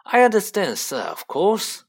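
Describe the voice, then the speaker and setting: A man's voice: high voice, huge pitch swings, measured pace, creaky, pitched above the performer's own voice, heavily acted. A man voicing an oily, servile and sly shopkeeper with an obsequious, deferential manner in a storybook reading. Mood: fawning. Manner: obsequious, eager to please, repeatedly deferential.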